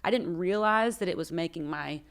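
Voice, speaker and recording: A young woman with a slightly low voice, co-hosting a podcast in a studio. She talks in an animated, casual way and tells stories with lots of energy. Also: normal speed, vocal fry, clean tone. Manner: chatty, animated storyteller. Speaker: podcast co-host, singer. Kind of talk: casual chat. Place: recording studio.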